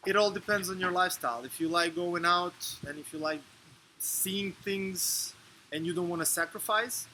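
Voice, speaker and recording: A man from Serbia in his thirties, answering interview questions in a measured, explanatory way with fillers and restarts. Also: high-pitched, sharp tone, a little hiss or hum, poor audio. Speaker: interview guest.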